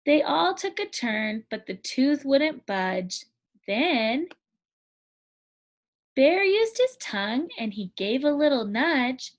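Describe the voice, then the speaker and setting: A woman with a high, light voice reads a children's picture book aloud, taking her time. She sounds like a teacher at storytime, clear and careful with each line. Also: sing-song. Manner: slow, clear storytime reading.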